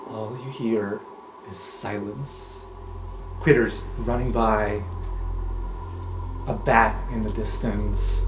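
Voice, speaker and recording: A man's voice: lowish voice, background noise. A man improvising narration as the game master of a tabletop roleplaying game. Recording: roomy, with music under the voice. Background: music.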